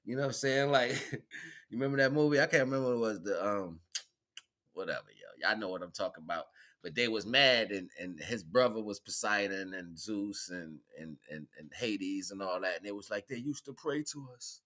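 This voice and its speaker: A man with a bright, forceful voice talks straight to his listeners in a rambling, conversational monologue. He preaches in informal slang, repeating phrases for emphasis and often checking that people follow. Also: on the low side, moderate pace. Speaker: spirituality vlogger, livestream host.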